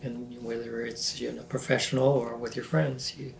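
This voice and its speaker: Gravelly older man reminiscing haltingly. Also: medium pitch, level tone, low-quality recording. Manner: halting, self-correcting, many fillers. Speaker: oral history interviewee. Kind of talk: reflective recollection.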